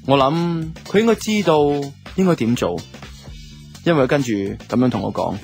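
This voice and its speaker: A man's voice: mid-range voice, very animated, moderate pace, gravelly, a little mellow. A man with a Hong Kong Cantonese accent playing a laid-back young man who narrates his love life in a reflective, colloquial way for a radio drama. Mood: musing. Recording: music under the voice.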